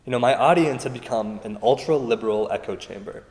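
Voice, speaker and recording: An actor playing an earnest comedian turned activist in a radio play, speaking in a measured way in a reverberant space. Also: male voice, medium pitch, darkish tone, natural voice. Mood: earnest, aggrieved. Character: earnest, aggrieved male comedian turned free speech activist. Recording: long hall reverb.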